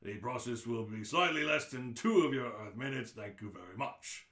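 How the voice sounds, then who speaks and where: Man acting a callous alien bureaucrat, pompous, sharp forceful voice, exasperated, radio play, echoey room. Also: medium pitch, animated, moderate pace, natural voice. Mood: pompous, official. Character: officious, callous alien bureaucrat announcing Earth's demolition. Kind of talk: radio play read-through. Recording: roomy, echoing room sound.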